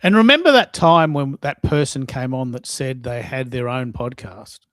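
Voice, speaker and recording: A man's voice: medium pitch, expressive, normal speed, a bit bright, no echo. Conversational male host, dry close sound. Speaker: host of a live social audio show. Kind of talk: casual conversational hosting.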